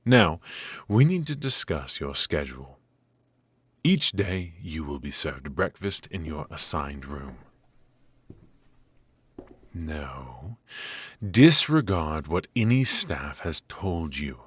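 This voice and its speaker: A man playing a stern, aloof royal mage and mentor, speaking formally and imperiously with a husky voice in a scripted ASMR fantasy roleplay. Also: extremely low pitch, big pitch swings, slow pace, long pauses, no room sound, full character voice. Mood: commanding, stern.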